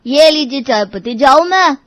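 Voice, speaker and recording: A woman voicing a whiny, reluctant young boy who grumbles about errands in an animated moral story. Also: high-pitched, moderate pace, dry recording. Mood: grumbling. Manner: grumbling, protesting.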